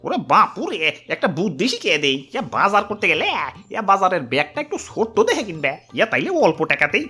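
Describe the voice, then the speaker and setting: A man's voice: sits high, very animated, quick pace, pushed and bright, faint music behind, full character voice. A man voicing a sly, wheedling old grandfather beggar in a comic cartoon, with a Bangladeshi accent and a constant filler tic. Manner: peppers speech with a filler tic.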